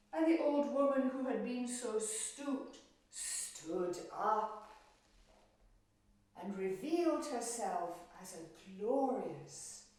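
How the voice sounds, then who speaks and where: Woman telling kids a story, expressive and slow, sounds far from the mic. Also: on the high side. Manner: deliberate, dramatic, with questions to young listeners. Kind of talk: expressive children's myth storytelling. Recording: very echoey room, distant microphone.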